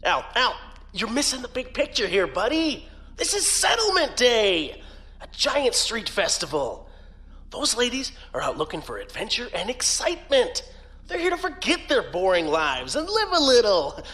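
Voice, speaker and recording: A man's voice: extremely high pitch, huge pitch swings, normal speed, bright, forceful tone, exaggerated voice. A man playing a brash, fun-loving young space fleet officer in an audio drama, with a casual, cajoling manner. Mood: cajoling, excited. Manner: casual, wheedling, swooping.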